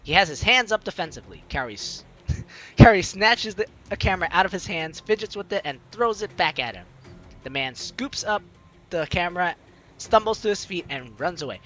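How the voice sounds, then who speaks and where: A woman's voice: on the low side, lively intonation, brisk, fairly bright tone. A woman hosting a let's play, hammily reading game narration and lines aloud with playful asides. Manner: playful, hammy read-aloud with ad-libbed asides. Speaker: gaming host. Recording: background game music behind voice.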